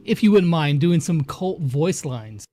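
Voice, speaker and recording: A man's voice: fairly high pitch, animated, a little slow, warm tone. A man hosting an interview in a casual, conversational style. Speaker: interviewer, host.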